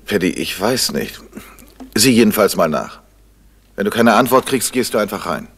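An actor playing a determined, commanding detective in a vintage radio crime drama. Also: male voice, lowish voice, sing-song, moderate pace, fairly bright tone, breathy edge, full character voice. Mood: concerned. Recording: noisy vintage radio recording.

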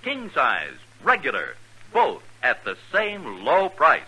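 A man's voice: medium pitch, wildly swooping pitch, takes it slowly, sharp tone, slightly rough, rough recording. An American man reading a 1950s radio advertisement as a commercial announcer. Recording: vintage 1950s radio broadcast.

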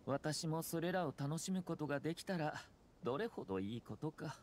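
A man voicing a courteous, calculating young clan head in a Japanese anime game, smooth, formal and measured. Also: a little high, expressive, a little mellow, a little hiss or hum, natural voice. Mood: calm, reflective. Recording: game music underneath.

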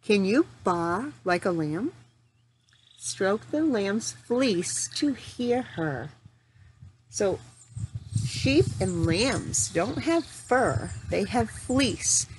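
Slow-paced woman reading to young children. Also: mid-range voice, rough recording. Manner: simple, deliberate phrasing addressed to small children. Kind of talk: children's read-aloud with questions to young listeners. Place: home room in front of a large window. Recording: steady noticeable background noise.

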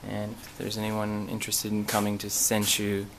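A young man giving a casual, halting, understated walk-through tour on a handheld camera. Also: monotone, a bit bright, slight background noise. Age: young adult. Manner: low-key, halting, understated. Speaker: exchange student giving a tour. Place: indoors in a student dormitory, walking through rooms.

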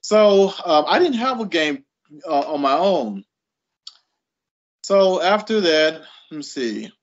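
A man in his late thirties, a guest on a podcast, telling stories with animated energy and chuckling between thoughts. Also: fairly high pitch, takes it slowly. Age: late 30s. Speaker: guest writer. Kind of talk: casual interview storytelling. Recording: dull lo-fi audio.

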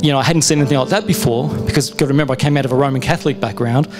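A man's voice: medium pitch, rapid-fire, over music. A middle-aged man from Australia with an Australian accent, sharing a personal testimony on a church stage in a chatty, storytelling way that is full of restarts, speaking into a handheld mic. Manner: conversational storytelling with self-interruptions. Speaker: guest speaker.

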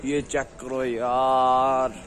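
A hoarse young man vlogging excitedly to a phone held at arm's length, outdoors at night and in a busy eatery. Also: medium pitch, drawn-out words. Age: young adult. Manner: chatty, excited, talks straight to the viewer. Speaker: travel vlogger. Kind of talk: casual vlog to camera. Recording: crowd noise in the background.

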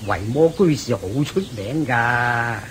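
An actor with a Hong Kong Cantonese accent playing a kindly, wise older Buddhist teacher, patient and full of wonder in a radio drama. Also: male voice, mid-range voice, animated, a little slow, fairly bright tone, slight background noise. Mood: kindly, instructive.

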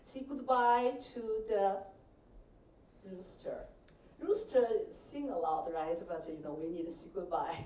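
A woman's voice: fairly low pitch, steady tone, unhurried, warm tone, recorded from a distance. A woman introducing the evening's speaker in a light-hearted way, holding a mic in a school hall. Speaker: event organizer introducing the speaker. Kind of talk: short spoken introduction. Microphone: handheld mic. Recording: echoey hall, mic held off.

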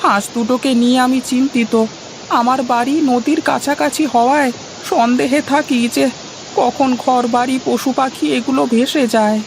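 A woman's voice: high-pitched, fairly even intonation, moderate pace, background noise. A woman voicing a poor, worried village father in a cartoon dub. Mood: anxious, pleading.

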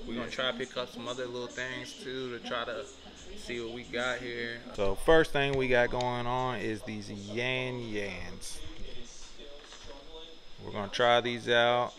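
A man's voice: mid-range voice, steady tone, unhurried, music in the background. A young man hosting a casual food review vlog in a small restaurant, talking in hyped, slang-heavy banter into a phone camera. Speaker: vlog host. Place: small restaurant dining room.

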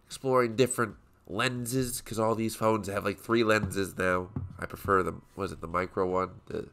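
A young man talks in a very low, gravelly voice, his nose clearly stuffed up. He rambles offhandedly and keeps correcting himself mid-thought, like someone chatting while his hands are busy at a desk. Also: steady tone, moderate pace, fairly bright tone, slight background noise. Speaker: toy photography YouTuber. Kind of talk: casual rambling commentary while working. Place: home desk with small photo set.

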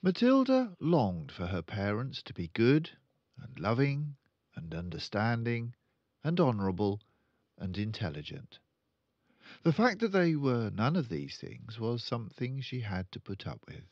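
An older man reading a children's book aloud as a storyteller, with deliberate, expressive phrasing. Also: medium pitch, no echo, dull audio. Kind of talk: children's audiobook narration.

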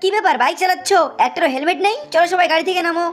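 A woman plays a stern cartoon traffic policeman in a very high voice. He admonishes quickly and with authority, music playing behind. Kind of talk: cartoon dubbing.

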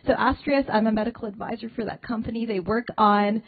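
A young woman giving an energetic conference talk on space medicine, stressing key phrases with a handheld mic. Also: fairly high pitch, husky. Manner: energetic, punchy emphasis with slowed key phrases. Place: indoor wood-paneled hall, at a podium. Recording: tinny phone-like sound.

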